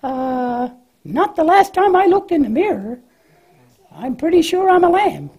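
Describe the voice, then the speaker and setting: A woman's voice: very high-pitched, big pitch swings, unhurried, darkish tone, heavily acted. A woman voicing a cheerful, naive young lamb in a children's church puppet show, hesitant and questioning in a childlike way. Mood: puzzled, chatty.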